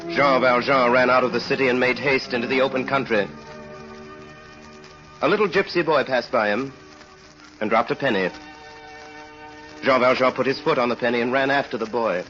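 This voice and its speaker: An actor as a solemn storyteller, narrating a vintage radio drama in a measured, dramatic way. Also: male voice, medium pitch, fairly bright tone, faint music behind, close to an everyday voice. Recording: muffled old radio recording.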